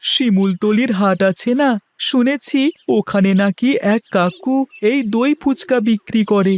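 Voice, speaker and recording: A woman's voice: mid-range voice, a little slow, a little mellow, lightly acted. A woman voicing a chatty, gossipy village child in a cartoon story. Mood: gossipy, teasing.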